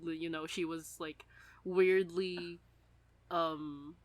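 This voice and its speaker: A woman chatting casually as a podcast co-host, rambling and giggly in an animated way. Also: fairly low pitch, very slow, dry recording. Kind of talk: casual chat about an audio drama.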